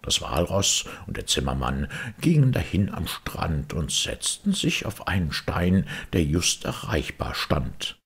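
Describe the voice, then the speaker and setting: A man reads a storybook with theatrical flair. His voice is deep and very husky, swinging widely in pitch, and he takes his time. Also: sing-song, unhurried, few pauses. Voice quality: husky, gravelly. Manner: theatrical, dramatic delivery. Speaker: audiobook reader. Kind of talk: expressive storybook narration of verse and prose.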